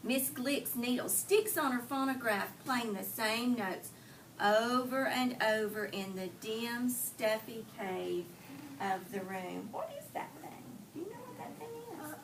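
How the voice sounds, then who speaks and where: Lady teacher reading a kids book out loud, kinda high voice, expressive, stops to ask questions, mic far away and noisy room. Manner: expressive storytelling, slow deliberate phrasing, pausing to question listeners. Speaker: teacher reading aloud to young children. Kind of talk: interactive picture-book read aloud.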